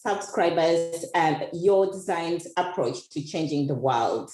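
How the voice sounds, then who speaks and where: Low-voiced woman hosting, echoey room. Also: lively intonation, slow pace, darkish tone. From Uganda. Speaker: interview host. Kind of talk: conversational interview. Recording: echoey, roomy sound.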